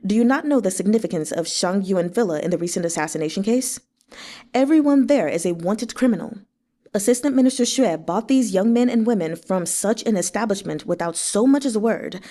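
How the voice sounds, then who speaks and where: A woman's voice: mid-range voice, animated, a little fast, warm tone, lightly acted. A woman voicing a menacing male vice commander of the guard, curt and commanding, in a story reading. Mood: stern.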